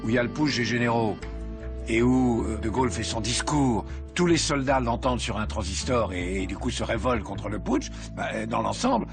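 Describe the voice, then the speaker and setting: A man's voice: mid-range voice, expressive, normal speed. A man speaking in a documentary interview, hesitant, with fillers and restarts. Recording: music playing under the voice.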